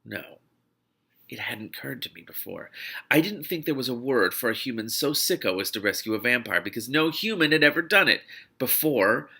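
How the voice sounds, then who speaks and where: Bright-voiced man reading a novel aloud. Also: medium pitch, normal speed, vocal fry, slightly rough. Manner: animated, informal, breaks off to comment. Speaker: reader and host of a read-along series. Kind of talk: expressive read-aloud of a novel with off-the-cuff reactions.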